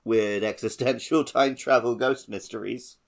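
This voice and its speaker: A man's voice: mid-range voice, fairly even intonation, unhurried, gravelly. A man co-hosting a podcast, casually talking through a video game. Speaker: podcast host.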